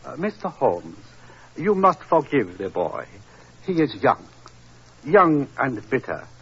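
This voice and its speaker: A courteous, philosophical older Chinese prince, husky and expressive, with formal, deliberate pauses. It sounds like a muffled 1940s radio play. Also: male voice, mid-range voice, full character voice. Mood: solemn, gentle. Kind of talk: 1940s radio drama acting.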